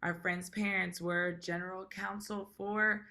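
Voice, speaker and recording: A woman from Washington, D.C. with a raspy voice, a guest talking in an interview, emphatic and quick to correct details. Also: fairly low pitch, flat delivery, sharp tone. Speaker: guest, tap dancer. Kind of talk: casual interview chat.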